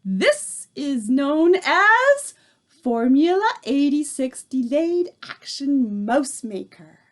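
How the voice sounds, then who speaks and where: A woman reading aloud, voicing the tiny Grand High Witch, a furious and commanding female witch leader who shouts and speaks in odd broken grammar. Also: big pitch swings, slow, heavily acted. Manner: shouting, shrieking, odd foreign-sounding grammar.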